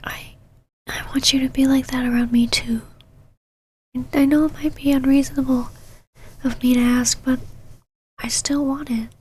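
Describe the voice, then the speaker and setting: A woman voicing a possessive, secretly tender vampire knight in an intimate ASMR roleplay, whispering softly in a haughty yet halting, easily flustered way. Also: high voice, flat delivery. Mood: shy, vulnerable. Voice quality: breathy, soft whisper.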